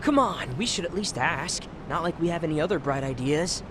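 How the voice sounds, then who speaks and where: Guy voicing an eager teenage boy in a game, highish animated voice, noisy behind. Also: fairly quick, natural voice. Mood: urging. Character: eager, hopeful teenage boy seeking his mother. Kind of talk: video game cutscene acting.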